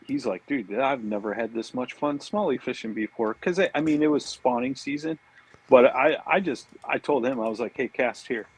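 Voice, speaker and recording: A man's voice: mid-range voice, moderate pace, breathy edge, rough recording. A man with a laid-back, slangy way of talking, chatting casually about fishing as a podcast guest.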